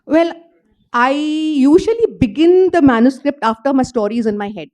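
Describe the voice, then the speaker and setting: Woman, high voice, earnest author answering questions, festival panel. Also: animated, moderate pace, darkish tone. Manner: explanatory, earnest, uses "you know" fillers. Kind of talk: panel talk at a literature festival. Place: event hall with audience.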